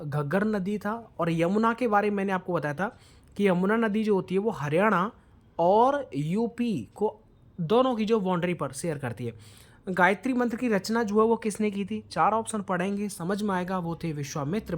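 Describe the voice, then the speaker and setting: A man's voice: high-pitched, moderate pace. A man teaching an exam-prep lesson in quiz style, prompting listeners with questions and mixing in English words.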